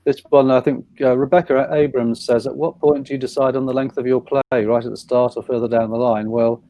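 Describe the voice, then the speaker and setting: A man's voice: medium pitch, level tone, fairly quick, mellow, a little hiss or hum. A man chairing an online writers' panel, asking questions and reading audience questions aloud. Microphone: video call.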